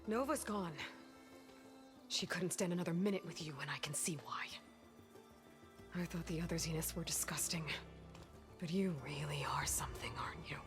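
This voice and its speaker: A woman's voice: lowish voice, normal speed, pauses often, over music, natural voice. A woman playing a determined, wry young warrior heroine in a video game, speaking in an earnest, purposeful way. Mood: contempt. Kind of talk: naturalistic video game dialogue acting.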